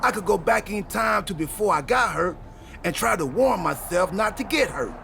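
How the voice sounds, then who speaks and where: A goofy game-cutscene wrestler with a high, creaky voice. He is scatterbrained and excitable, delivering daft ideas in full earnest. His pitch swings widely, over faint background noise. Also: normal speed, soft background music, heavily acted. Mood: proud, matter-of-fact. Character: excitable, goofy, scatterbrained male pro wrestler with a time machine. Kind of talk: scripted video game cutscene dialogue.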